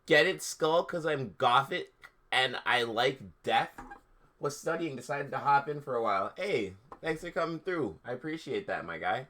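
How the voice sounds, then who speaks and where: A man's voice: medium pitch, expressive, moderate pace, bright, forceful tone. A man hosting a livestream, giving a theatrical, mocking dramatic reading of fan fiction with casual asides. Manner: theatrical, mocking delivery that breaks into laughter. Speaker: livestream host.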